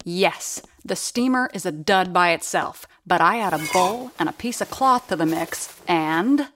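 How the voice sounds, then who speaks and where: A woman's voice: on the low side, lively intonation, measured pace, a bit bright, slight background noise. A woman playing a wry, seasoned, no-nonsense radio sound-effects worker in an audio drama, talking in conversational asides. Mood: stern. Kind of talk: 1930s-set audio drama narration and dialogue.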